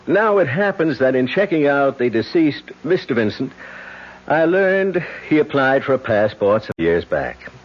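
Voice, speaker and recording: An actor playing a shrewd, smug insurance investigator in a radio drama, measured and wry. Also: male voice, mid-range voice, very animated. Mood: smugly explaining. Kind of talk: 1970s radio drama acting. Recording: muffled old radio recording.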